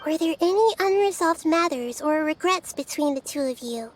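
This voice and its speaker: A gentle childlike goddess. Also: female voice, high-pitched, lively intonation, normal speed, slight background noise. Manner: soft, thoughtful, reassuring. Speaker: game character. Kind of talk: video game voice acting.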